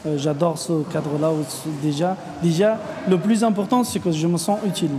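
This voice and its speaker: A woman's voice: fairly low pitch, fairly even intonation, fairly quick, background noise. A woman in her thirties from Afghanistan, a coordination agent speaking sincerely and hesitantly in a testimonial interview. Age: 30s. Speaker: coordination agent, team leader.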